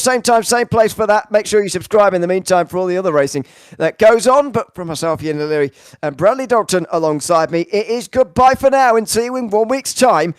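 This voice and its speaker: A man's voice: a little high, clean tone, no echo, studio quality. A man hosting and commentating a sim racing broadcast. He interviews a driver and wraps up the night, rambling a little and using many fillers. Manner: rambling, filler-heavy, enthusiastic wrap-up.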